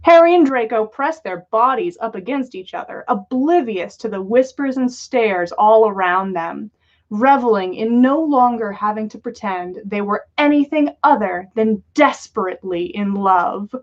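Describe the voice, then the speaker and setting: A woman's voice: on the high side, animated, normal speed. A playful, giggly woman hosting a livestream, reading stories aloud and chatting casually. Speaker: podcast host reading listeners' stories aloud. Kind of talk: storytelling read-aloud with casual chat on a livestream. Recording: dull lo-fi livestream audio.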